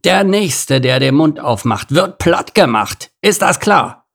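A man's voice: mid-range voice, a little fast, slightly rough. A man voicing an irritable, threatening rhinoceros chief in a children's storybook, grumbling and scolding. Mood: angry.

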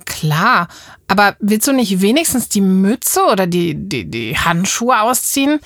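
A woman's voice: medium pitch, very animated, measured pace, fairly bright tone, dry recording, clean studio sound. A woman hosting a children's show, chatting casually with a child in a friendly, caring way. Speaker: host chatting with a child character.

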